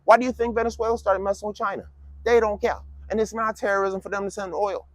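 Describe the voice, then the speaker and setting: A man's voice: high voice, steady tone, quick pace, no echo. A man in his fifties delivering a casual, mocking political monologue with lots of rhetorical questions. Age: 50s. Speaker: vlogger giving a political speech.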